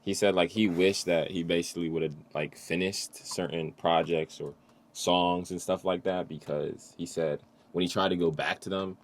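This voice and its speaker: Deep-voiced male podcast host, easygoing. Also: fairly even intonation, normal speed. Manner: easygoing, repeats "you know what I'm saying". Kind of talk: casual podcast chat.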